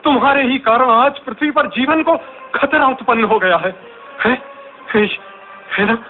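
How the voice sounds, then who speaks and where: A man's voice: very high voice, a little slow. An actor playing a frightened, astonished man in a radio drama, stammering and repeating his words in exclamation. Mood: shocked, dismayed. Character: frightened, astonished human man facing terrible apparitions. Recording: tinny phone-like audio with music.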